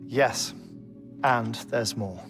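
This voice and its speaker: An actor playing a thoughtful, earnest scientist in a video game cutscene, explaining methodically with rhetorical questions. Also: male voice, mid-range voice, very slow, music in the background. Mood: earnest, explanatory. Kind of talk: cinematic video game dialogue.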